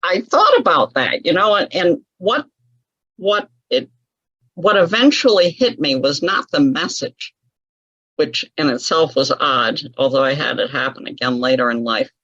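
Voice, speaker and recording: A woman with a husky, slightly breathy voice tells her story in a relaxed interview, laughing often as she goes. The sound is dry and close, with a dull, lo-fi podcast quality. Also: low-pitched, a little slow, no echo. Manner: chatty, laughs often, lively retelling of her own past. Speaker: interview guest, author.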